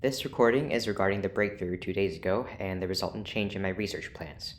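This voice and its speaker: An actor playing a formal, clinical research doctor in an audio drama, speaking in a precise, measured way. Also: low-pitched, faint music behind, natural voice. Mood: clinical, cautiously optimistic. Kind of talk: scripted audio drama acting.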